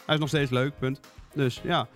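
A man's voice: medium pitch, fairly even intonation, normal speed, a bit bright, faint music behind. A man chatting casually and enthusiastically about games as a podcast reviewer. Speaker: game reviewer on podcast panel.

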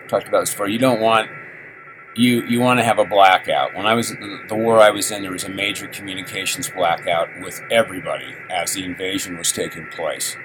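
Low-voiced man musing over shortwave. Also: rough recording. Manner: rambling, musing aloud. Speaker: radio host. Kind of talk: casual radio talk while tuning a shortwave receiver.

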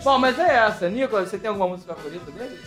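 A man co-hosting a casual podcast chat with friends, excitable and quick to laugh, steering the talk between topics. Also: high voice, over music. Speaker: podcast co-host.

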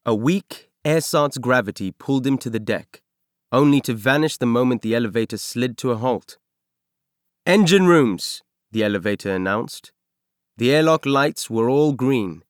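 A man reading a science fiction novel aloud as scripted narration, in measured, expressive storytelling. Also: mid-range voice, no echo, clean studio sound. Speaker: audiobook narrator.

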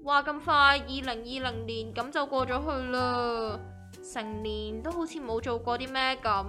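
Radio comedy: cheeky young Hong Kong woman, played by an actress, bright, expressive delivery, music underneath. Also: high voice, a little slow. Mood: wistful. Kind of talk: scripted comedic radio drama.

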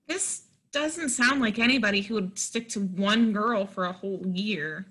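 A woman's voice: mid-range voice, measured pace, pushed and bright, breathy edge. A woman playing a friendly, naive, plain-spoken young model hopeful in a stage play reading, folksy and earnest. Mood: skeptical. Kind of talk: readers theatre play reading.

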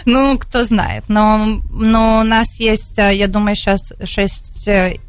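A woman's voice: mid-range voice, fairly even intonation, slow, clear, resonant tone, no echo. A woman with an American accent, a candidate answering radio interview questions, hesitant and often searching for words. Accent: American English. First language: English. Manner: hesitant, searching for Russian words, many fillers. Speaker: city council candidate. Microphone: studio microphone.

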